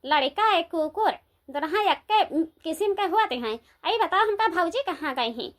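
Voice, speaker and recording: A woman's voice: extremely high pitch, expressive, moderate pace, fairly bright tone. A woman voicing a blunt young village woman in a cartoon, with an Awadhi accent. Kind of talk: cartoon voice acting.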